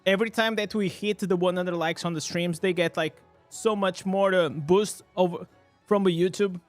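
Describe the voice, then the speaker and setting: Excitable man streaming a game. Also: high-pitched, moderate pace, soft background music. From Portugal. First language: Portuguese. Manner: excitable, reacting loudly to loot. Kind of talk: live gameplay commentary.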